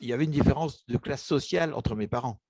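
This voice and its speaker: A man's voice: medium pitch, quick pace, a little mellow, slightly rough, dry recording, clean studio sound. An older man from Egypt telling his memories in an interview, hesitant, with many restarts and fillers. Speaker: author interviewed about his book. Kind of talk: casual interview, personal memories.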